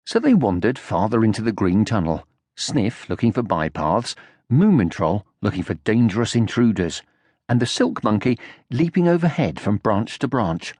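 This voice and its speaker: A man narrating a children's audiobook from a script in an expressive storytelling style. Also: on the low side, normal speed, no echo.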